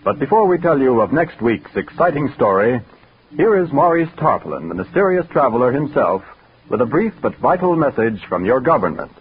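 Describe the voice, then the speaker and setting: Animated 1940s radio announcer, a man. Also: medium pitch, normal speed. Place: radio studio. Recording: lo-fi 1940s radio recording, background noise.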